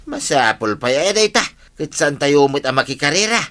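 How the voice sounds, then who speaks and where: A man's voice: a little high, big pitch swings, moderate pace, bright, forceful tone. A man with an Ilocano Filipino accent playing an eager, community-minded villager in a comedy radio drama.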